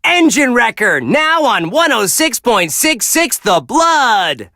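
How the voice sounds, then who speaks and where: Chipper video game radio DJ, swooping pitch, gushing, folksy, wholesome, close dry sound. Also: male voice, sing-song, takes it slowly, sharp tone, no echo, heavily acted. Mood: excited, gushing. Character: relentlessly cheerful, wholesome male rock radio DJ. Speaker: radio DJ host.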